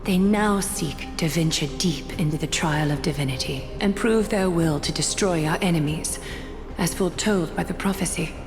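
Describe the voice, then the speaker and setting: An actress playing a solemn priestess-like figure in a game, proclaiming formally and ceremonially, with measured delivery. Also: female voice, on the low side, lightly acted. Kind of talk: dramatic game voice acting. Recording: long hall reverb under music.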